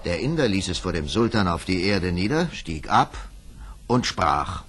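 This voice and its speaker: Deep, husky male fairy-tale narrator. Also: very animated, fairly bright tone, soft background music. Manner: theatrical, measured storytelling.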